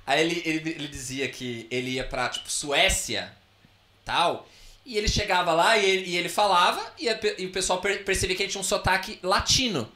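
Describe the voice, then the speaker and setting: A man's voice: high voice, brisk, bright, forceful tone. A man hosting a casual interview, telling stories in a chatty, rambling way. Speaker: host. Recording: roomy echo.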